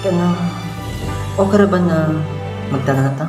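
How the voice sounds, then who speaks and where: A man's voice: high voice, moderate pace, natural voice. A man playing an earnest young son and boyfriend in an amateur radio drama, hesitant and pleading. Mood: hesitant, coaxing. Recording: echoey, with loud music behind.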